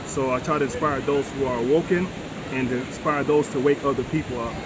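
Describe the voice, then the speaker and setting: A man's voice: mid-range voice, fairly even intonation, fairly quick. Middle-aged American male interview guest, mid-range voice, earnest and conversational, recorded outdoors with heavy background noise. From United States. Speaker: vlogger and retired military veteran, interview guest. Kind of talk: casual interview talk. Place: open-air beachside deck. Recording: loud wind and ambient outdoor noise.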